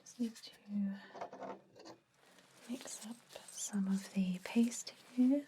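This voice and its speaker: A woman playing a gentle, chatty vampire dentist in an ASMR roleplay, whispering slowly and breathily to soothe and confide. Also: fairly high pitch, slight background noise. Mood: soothing, clinical. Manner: slow, soothing, hesitant, confiding.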